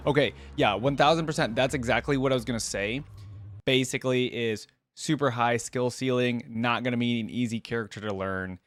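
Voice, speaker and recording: Low-pitched man, casual reaction commentary, dry sound. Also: dry recording. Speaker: reaction video host.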